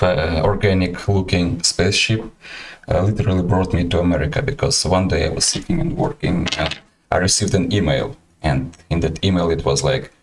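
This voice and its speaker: Ukrainian-accented male host, very low voice, halting casual livestream chat. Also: a little hiss or hum. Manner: halting, many fillers and restarts.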